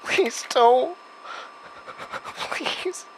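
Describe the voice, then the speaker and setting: A woman's voice: sits high, drawn-out words, noisy background. A woman playing a terrified, helpless young patient in a horror audio drama, whimpering and begging. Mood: terrified pleading. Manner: whimpering, pleading.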